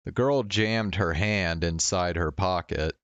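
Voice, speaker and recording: A man's voice: sits low, slow, clean tone, no echo, muffled recording. A man doing casual game commentary and reading visual novel text aloud. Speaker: game streamer. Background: game music.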